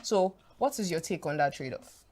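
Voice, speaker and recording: A woman's voice: lowish voice, lively intonation, moderate pace, darkish tone. A woman interviewing a guest and asking follow-up questions.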